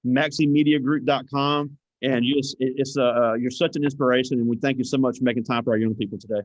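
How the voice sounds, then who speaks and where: A man's voice: mid-range voice, fairly even intonation, quick pace, no echo, lo-fi sound. A man hosting an interview at a school, talking in a rambling way with many restarts and thanks. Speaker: host.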